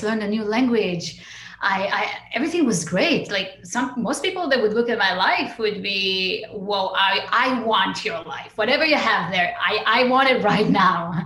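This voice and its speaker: Israeli-born woman, interview guest; bright, forceful voice in an echoey room, reflective and halting. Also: mid-range voice. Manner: open, reflective, many fillers and restarts. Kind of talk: personal story in an interview.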